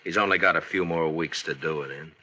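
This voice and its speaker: An American actor playing a blunt, commanding, sardonic frontier marshal in an old-time radio western. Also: male voice, a bass voice, fairly quick, sharp tone, a little hiss or hum, exaggerated voice. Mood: dry, calm. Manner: blunt, authoritative, dry. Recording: vintage radio drama recording.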